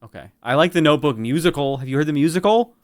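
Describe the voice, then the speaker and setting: A man's voice: on the high side, lively intonation, fast talker. A man co-hosting a live stream, chatting casually and joking. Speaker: streamer and co-host.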